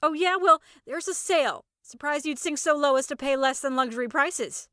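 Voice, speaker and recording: A woman performs a combative young wife in a comic audio story. Her voice is on the high side, loud and indignant, with brash sarcasm. The recording is close and dry. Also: moderate pace, dry recording. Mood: defiant sarcasm.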